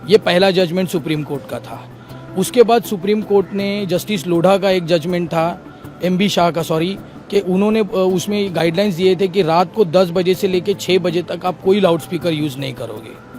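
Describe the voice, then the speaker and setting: High-voiced man explaining legal points. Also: moderate pace, darkish tone, faint music behind. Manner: explains legal points step by step, mixing in English legal phrases. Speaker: lawyer for the petitioners. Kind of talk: news interview answers.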